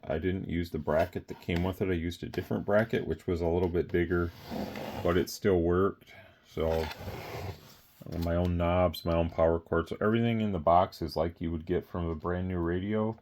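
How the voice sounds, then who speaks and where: Guy w a low flat voice just rambling thru a product rundown, plain talk, nothing scripted. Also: normal speed, a little hiss or hum. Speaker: radio seller presenting a product. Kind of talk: casual off-the-cuff product talk.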